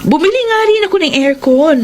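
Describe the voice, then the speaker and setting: A woman's voice: very high voice, expressive, brisk, a little mellow, slight background noise. A woman acting an ambitious, breezy working wife in a Filipino radio drama. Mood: cheerful, insistent.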